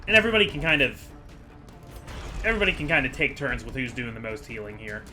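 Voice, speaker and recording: A man's voice: medium pitch, quick pace, bright, forceful tone. A man giving casual, rambling commentary as the host of a gaming video, chatty and digressive as he thinks aloud.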